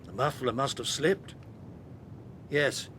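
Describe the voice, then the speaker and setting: An actor playing an older English retired surveyor, a polite witness who speaks hesitantly and apologetically in a radio play. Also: male voice, mid-range voice, takes it slowly, background noise, natural voice. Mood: flustered, reliving. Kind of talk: British radio drama acting.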